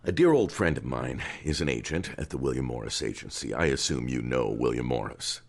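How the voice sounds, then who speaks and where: Male actor as older theater patriarch, deep voice, expressive intonation, candid, formal, authoritative, audio drama. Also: creaky, full character voice. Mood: generous, businesslike. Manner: measured, formal, patronizing yet appreciative.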